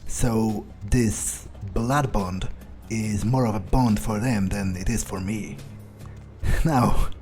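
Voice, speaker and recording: A man roleplaying a jaded, ruthless, arrogant vampire in a game, speaking in a halting, dismissive, sighing way. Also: on the low side, darkish tone, faint music behind. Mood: smug musing. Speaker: video game player roleplaying his character. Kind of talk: in-character improvised playthrough monologue.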